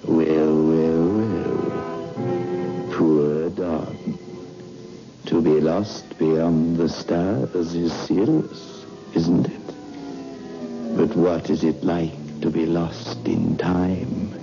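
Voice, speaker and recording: An actor playing a sardonic, ominous storyteller with a gravelly voice, narrating a 1960s radio horror show. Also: male voice, low voice, steady tone, very slow, full character voice. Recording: dull old radio recording with music.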